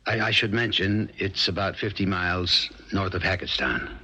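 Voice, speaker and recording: An actor playing a plain-spoken small-town sheriff in a 1970s radio drama, folksy and unhurried. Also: male voice, low voice, fairly even intonation, sharp tone, a little hiss or hum. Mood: measured, insistent. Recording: dull vintage radio recording, music behind.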